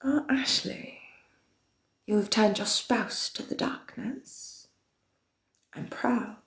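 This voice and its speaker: A woman playing a centuries-old villainous queen of darkness, chatting with viewers in a slow, theatrical, often whispered delivery. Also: medium pitch, close to an everyday voice. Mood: sinister, theatrical. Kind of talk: in-character chat with viewers. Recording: roomy echo.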